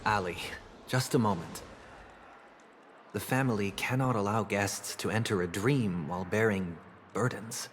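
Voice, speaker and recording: An actor playing a courteous, composed young gentleman in authority, speaking formally and with measured politeness in a video game. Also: fairly low pitch, a little hiss or hum, lightly acted. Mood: composed, firm. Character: courteous, composed young gentleman, a high-ranking hotel-family leader. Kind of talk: scripted video game dialogue.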